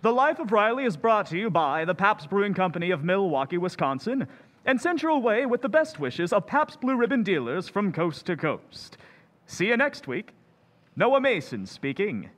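A man acting as an old-time radio announcer, reading a smooth, persuasive commercial. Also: high voice, big pitch swings, moderate pace, a bit bright, in a large hall.

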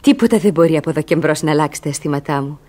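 An actress playing a sincere, dignified young ward in a classic radio comedy, earnest and theatrical. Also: female voice, sits low, lively intonation, mellow.

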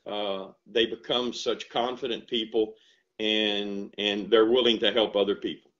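Older Southern farmer, folksy storyteller, headset call audio, slightly dull and lo-fi. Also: male voice, on the low side, measured pace. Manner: animated storytelling, earnest and folksy. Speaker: dairy farmer and ice cream shop owner. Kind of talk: casual interview over video call. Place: home room with bookshelves. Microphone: headset on video call.

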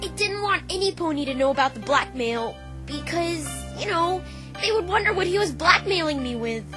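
Here is a woman acting as a brash young female cartoon pony, a pegasus with a high voice. She talks in a casual, cocky way and gets defensive, with music playing behind her. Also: animated, normal speed. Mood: anxious, defensive. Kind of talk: fan dub voice acting of a visual novel.

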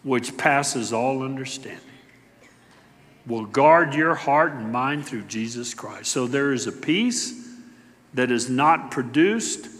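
A middle-aged man preaching a sermon to a church congregation, talking emphatically and swinging between loud declarations and hushed asides. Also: mid-range voice, lively intonation, takes it slowly, long reverb tail. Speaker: pastor. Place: church sanctuary.

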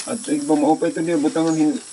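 A man's voice: fairly high pitch, little pitch movement, fast, warm tone, poor audio. A man on a farm chatting casually, giving practical advice about building. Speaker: farm companion. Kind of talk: casual chat. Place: outdoors on a farm. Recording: outdoor phone audio with insect noise.

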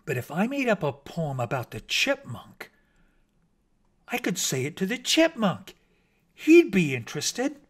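A man's voice: high-pitched, huge pitch swings, measured pace, voice pushed up, full character voice. A man voicing a small male bat poet in a storybook, earnest, naive and hesitant, easily wounded. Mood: hopeful.

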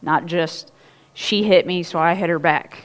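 A woman's voice: fairly low pitch, a little slow. Chatty woman pastor preaching on stage. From Ohio. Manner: chatty, animated storytelling with laughs and asides. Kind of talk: sermon with personal story. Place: church stage, indoors.

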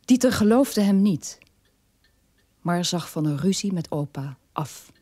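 An actor playing a middle-aged, estranged son in a radio drama, telling his story in a measured way. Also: sits high, lively intonation, warm tone, no echo. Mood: resigned, estranged.

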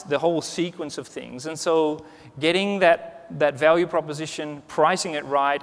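A man in his forties giving a conversational conference keynote on a large stage, with lots of restarts and "you know.". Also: on the high side. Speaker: keynote speaker. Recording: reverberant conference hall.

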